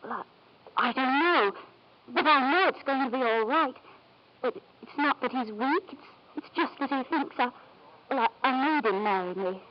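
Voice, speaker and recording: A woman's voice: sits high, animated, normal speed. An actress playing an anxious but proud young woman in an old-time radio drama, speaking hesitantly and deferentially. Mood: hesitant, hopeful. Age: young adult. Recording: dull, noisy 1940s-50s radio recording.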